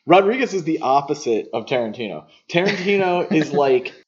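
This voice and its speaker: A man's voice: a little high, big pitch swings, normal speed, muffled recording, slight background noise. A man co-hosting a podcast, talking through films in a casual, analytical, explanatory way. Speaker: podcast co-host. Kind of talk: casual film discussion.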